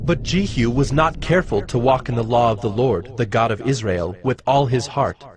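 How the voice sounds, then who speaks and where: A man reads scripture as scripted narration in a measured, solemn storytelling manner. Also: medium pitch. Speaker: narrator of a dramatized audio Bible. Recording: music bed behind the voice. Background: background music.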